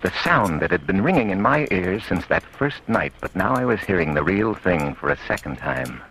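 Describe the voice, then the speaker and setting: An actor playing a calm, shrewd blind police captain who questions suspects and narrates in a measured, dry way, in 1940s radio acting style. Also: male voice, low-pitched, vocal fry, a little hiss or hum, exaggerated voice, rough recording. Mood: narrating. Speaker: detective narrator.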